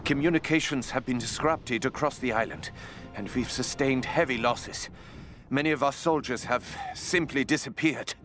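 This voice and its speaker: An actor playing a controlled Japanese military scientist, dictating a formal, measured wartime report for a video game. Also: male voice, medium pitch, a bit bright, faint music behind, natural voice. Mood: grave, urgent.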